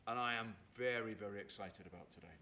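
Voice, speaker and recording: A man in his fifties, from England, telling stories about his upbringing in an unhurried way and repeating words for emphasis, recorded in a theatre. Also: fairly low pitch, pushed and bright. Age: 50s. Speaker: storyteller and writer. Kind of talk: reflective storytelling chat.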